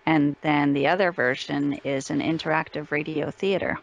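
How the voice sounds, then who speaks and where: A woman chatting casually as an interview guest, telling stories in an animated, chatty way. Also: low-pitched, moderate pace, creaky, muffled recording. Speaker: voice actress being interviewed.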